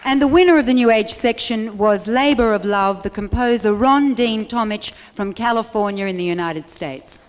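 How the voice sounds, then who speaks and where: A woman's voice: fairly high pitch, normal speed, slight background noise. A woman presenting a song contest, reading out winners and prompting applause. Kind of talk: stage announcement. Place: arts centre stage. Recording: long hall reverb with faint crowd.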